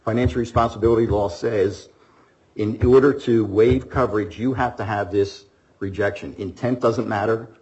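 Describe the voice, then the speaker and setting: A man's voice: lowish voice, fairly even intonation, moderate pace, a little mellow, dull audio. A man arguing in court as an attorney, firm and insistent. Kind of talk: courtroom argument.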